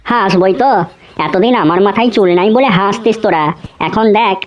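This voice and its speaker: A woman plays a smug schoolboy who taunts classmates in a comedy cartoon. The voice is mid-pitched, on slightly dull, lo-fi audio. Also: a little fast, natural voice.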